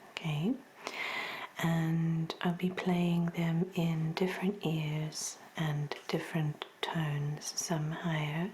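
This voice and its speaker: A woman speaking in a soft, breathy near-whisper, gently reassuring, as an examiner in an ASMR medical roleplay. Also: on the low side, a little hiss or hum. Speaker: ASMR performer playing a hearing examiner.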